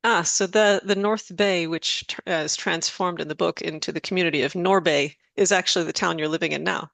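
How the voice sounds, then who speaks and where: An interviewer asking long, measured and polite questions of an author. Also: medium pitch, fairly even intonation, creaky, a bit bright, no echo.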